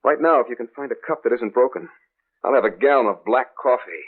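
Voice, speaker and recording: An actor playing a sensible, skeptical husband in a vintage radio horror drama. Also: male voice, mid-range voice, quick pace, husky, lightly acted. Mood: uneasy, shaken. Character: skeptical, reassuring husband, gradually unsettled. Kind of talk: 1940s radio drama acting. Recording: tinny old radio recording.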